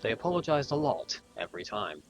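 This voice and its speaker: A man reading scripted narration for a children's railway audio drama, like a storybook, with a gravelly edge. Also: medium pitch, big pitch swings, brisk, faint music behind, lightly acted. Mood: storytelling.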